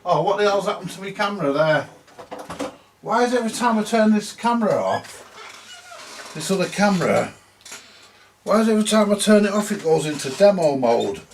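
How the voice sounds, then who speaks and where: A man's voice: high voice, animated, moderate pace, pauses often, slight background noise. A man with a British accent giving casual, grumbly, mildly sweary commentary to viewers while doing hands-on repair work. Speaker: host of a vintage radio repair vlog.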